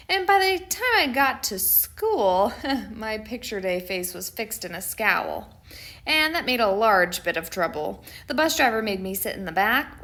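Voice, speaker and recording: Woman reading as a dramatic, mischievous schoolboy, very animated. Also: fairly high pitch. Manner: theatrical, emphatic, dramatic pauses. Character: mischievous, dramatic schoolboy narrating his own picture day. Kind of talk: first-person picture book storytelling.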